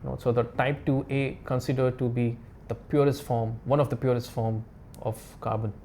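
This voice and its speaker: A man with a creaky, mellow voice explains things as an expert guest. His English is halting and repetitive, with non-native phrasing, and a faint low rumble of noise sits behind him. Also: little pitch movement. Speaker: diamond expert, interview guest. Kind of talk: casual expert explanation in interview.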